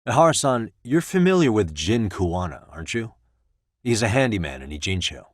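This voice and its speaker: A sharp, composed male defense lawyer from a video game, with a low-pitched voice recorded very dry. He speaks in measured, articulate phrases. His questioning is formal, probing and insistent. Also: very animated, dry recording. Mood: probing, confrontational. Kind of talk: scripted dramatic courtroom dialogue in a game.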